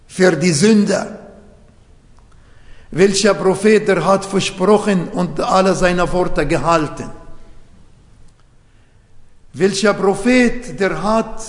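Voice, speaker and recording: Slow, high-voiced Arabic-speaking preacher in a hall. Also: male voice. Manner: non-native German with grammar slips, rhetorical questions. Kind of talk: sermon. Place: church hall. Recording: echoey hall with long reverb.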